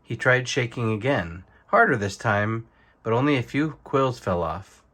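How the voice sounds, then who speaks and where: Animated male storybook reader, mid-pitched. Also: unhurried. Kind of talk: expressive bedtime story narration.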